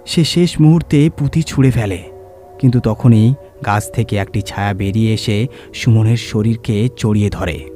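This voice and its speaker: A man narrating a scripted horror story in a measured, suspenseful, dramatic storytelling manner. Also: lowish voice, warm tone. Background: music.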